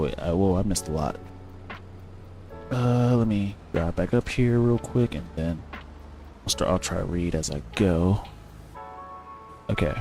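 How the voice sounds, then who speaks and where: A man's voice: low voice, a little mellow. A man giving casual, rambling commentary as he plays a game, thinking aloud and correcting himself. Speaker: gaming streamer.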